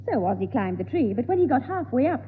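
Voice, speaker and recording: A woman playing a sharp-tongued, tattling elder sister in a 1960s radio sitcom, brisk and sarcastic. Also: high-pitched, big pitch swings. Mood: scornful. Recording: tinny, echoey, noisy old radio broadcast.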